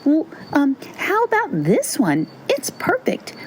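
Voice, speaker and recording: Woman playing an exasperated, high-voiced big sister. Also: wildly swooping pitch, slow, a little mellow, slight background noise, heavily acted. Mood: exasperated, coaxing. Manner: exasperated, coaxing. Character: impatient big sister, a girl, coaxing and exasperated.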